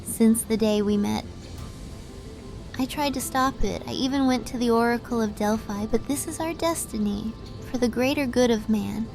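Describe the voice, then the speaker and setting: A woman's voice: a little high, normal speed, over music, lightly acted. A woman playing a tender, resigned young witch princess in an audio drama, pleading in half-whispers. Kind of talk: dramatic audio fiction acting.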